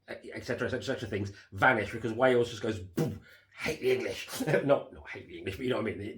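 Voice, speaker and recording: Man, casual home chat, rambling with fillers, slightly hoarse voice, mid pitch. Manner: rambling, lots of fillers and restarts, casual swearing. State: voice going hoarse. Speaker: podcast co-host reviewing a TV series.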